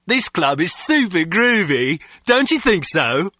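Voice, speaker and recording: Pompous beatnik, bright voice. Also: male voice, high-pitched, big pitch swings, measured pace, dry recording, studio quality, exaggerated voice. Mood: pompous, offended. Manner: pompous, affected. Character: pretentious, earnest male beatnik music fan. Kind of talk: video game voice acting.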